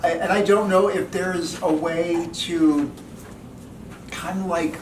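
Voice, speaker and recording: A man with a slightly high voice gives informal feedback, choosing words cautiously and hesitantly. He sounds some way from the microphone in an echoey room. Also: fairly even intonation, slow. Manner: hesitant, careful, tentative. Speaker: former cast member giving feedback. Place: indoor gathering in a home. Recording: echoey, distant mic, noisy room.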